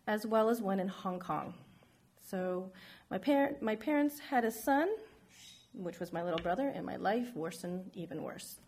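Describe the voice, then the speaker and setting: A middle-aged woman from San Francisco speaks in a frank, reflective way as she tells her life story. Her pitch sits in an ordinary middle range for a woman, and her delivery is plain spoken, like a personal testimony. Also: darkish tone. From San Francisco, United States.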